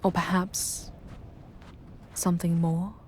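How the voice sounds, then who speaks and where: Woman acting proud female demon guide, low voice, slow measured delivery, composed, protective, ASMR fantasy roleplay. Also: level tone, a little mellow, slight background noise. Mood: tender, reflective.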